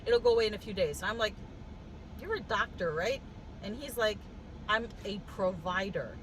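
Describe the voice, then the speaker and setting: A woman with a cough telling a story in a casual vlog, animated and wry, laughing as she goes, sitting in a car. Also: mid-range voice, moderate pace, a little hiss or hum, rough recording. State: congested with a cough. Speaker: vlogger. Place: in a car with the air conditioning on.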